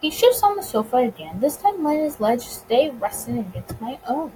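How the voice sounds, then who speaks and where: A high-pitched woman reads a visual novel out loud as a let's play host. Her delivery swings up and down freely and trips on words here and there. There is noise behind her. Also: big pitch swings, moderate pace, poor audio. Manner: stumbles over words while reading. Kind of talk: reading a visual novel aloud with casual commentary.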